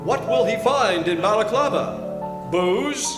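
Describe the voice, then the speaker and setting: A man's voice: high voice, animated, slow. A man playing a formal, dramatic announcer of an old-time radio serial. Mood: dramatic, suspenseful. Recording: echoey theatre hall, loud music behind.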